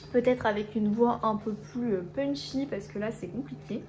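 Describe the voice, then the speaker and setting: A woman's voice: a little high, mellow, slight background noise. Woman with a cold, chatty vlogger. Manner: rambling, with frequent hesitations. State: stuffy and hoarse from a cold. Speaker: book vlogger. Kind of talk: casual chatty vlog.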